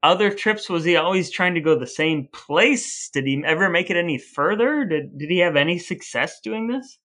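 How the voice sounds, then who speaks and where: A man's voice: high-pitched, animated, moderate pace, bright, forceful tone. A man co-hosting a podcast in casual chat, asking curious questions and adding dry quips. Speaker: podcast co-host.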